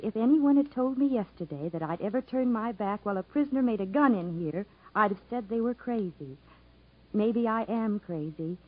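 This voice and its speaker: A woman's voice: mid-range voice, lightly acted. A woman acting an earnest, tender character reading a letter aloud in a 1950s radio drama. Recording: tinny, narrow-band 1950s radio broadcast.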